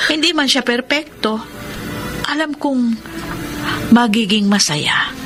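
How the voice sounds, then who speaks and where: Earnest mother figure, music behind. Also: lowish voice. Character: caring, firm mother advising her grown daughter. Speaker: radio drama actress. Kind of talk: Filipino radio melodrama acting. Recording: dramatic music under voice.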